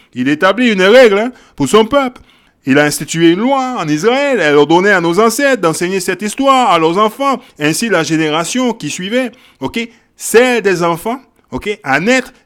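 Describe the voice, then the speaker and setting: A man with a high-pitched voice preaches from the Bible, reading verses quietly and then driving his points home loudly. He repeats phrases for emphasis and keeps asking his listeners "ok?" and "hein?". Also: clear, resonant tone. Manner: shifts from quiet verse reading to loud exhortation. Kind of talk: Bible teaching sermon.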